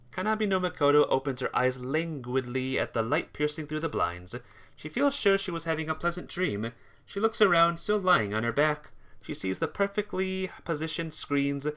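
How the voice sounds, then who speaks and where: Bright, animated visual novel narrator. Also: medium pitch, normal speed. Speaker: amateur visual novel reader. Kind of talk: expressive story narration.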